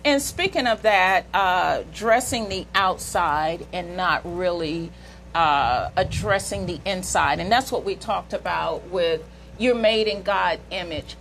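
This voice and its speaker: A woman's voice: lowish voice, slow, vocal fry, fairly bright tone, a little hiss or hum. A woman hosting a talk show, asking earnest questions and telling a story of her own as she leads up to them. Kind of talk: interview talk.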